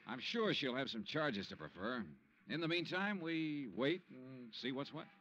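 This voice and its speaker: Hard-boiled male investigator: terse, dry authority, slightly deep voice, dull old-time radio sound. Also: expressive, a little slow, a bit bright, a little hiss or hum. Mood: wry, in control. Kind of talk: 1950s radio detective drama, narration and dialogue. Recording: dull vintage radio recording.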